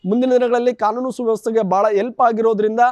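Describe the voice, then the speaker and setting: A man giving an unscripted TV news report in a halting, repetitive way with formal phrasing. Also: extremely high pitch, fairly even intonation, a little mellow, no echo.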